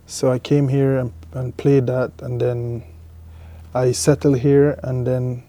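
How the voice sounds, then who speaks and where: A young man from Guyana being interviewed about his cricket, answering haltingly and often repeating his words. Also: mid-range voice, level tone, warm tone. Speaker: cricketer guest.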